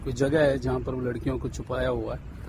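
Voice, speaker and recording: A man answering interview questions conversationally, pausing between phrases. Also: mid-range voice, flat delivery, noisy background. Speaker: amateur audio drama actor being interviewed.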